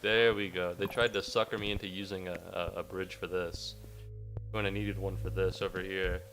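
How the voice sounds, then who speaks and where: A young man from the United States talks to his livestream chat in a rambling, self-mocking way while gaming. His voice sits fairly low and still sounds a little sniffly from a recent cold. Faint game music plays quietly behind him. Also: level tone, normal speed. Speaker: game streamer.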